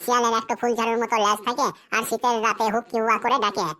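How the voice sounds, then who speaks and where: Woman doing a cheeky little cartoon schoolboy, high and bright, reciting his essay like a chant. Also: level tone, racing, lightly acted. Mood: cocky. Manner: rattles off a memorized essay in a sing-song recital. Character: cocky schoolboy who turns every essay into a fox essay. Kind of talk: cartoon voice acting.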